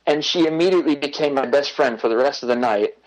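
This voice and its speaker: American guy chatting in an interview, relaxed, lots of um and uh, laughs at himself. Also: mid-range voice, fast talker, low-quality recording. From United States. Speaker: interview guest, language learner. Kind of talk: casual interview talk.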